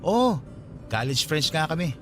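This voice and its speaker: Courteous young gentleman, radio drama. Highish male voice, animated, polite, reassuring. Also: unhurried, faint music behind. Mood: friendly, evasive. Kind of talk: Tagalog radio drama acting.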